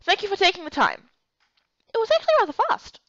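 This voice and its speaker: Lady doing an eager young game heroine, super high and excitable, brisk, slightly muffled. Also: no room sound, exaggerated voice. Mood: polite, upbeat.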